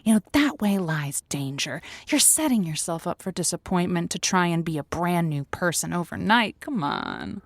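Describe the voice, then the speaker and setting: A woman's voice: on the low side, animated, moderate pace, few pauses, no room sound. Woman as an encouraging call-in host: chatty, earnest comedic advice, close dry studio sound. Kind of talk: improvised comedic advice talk.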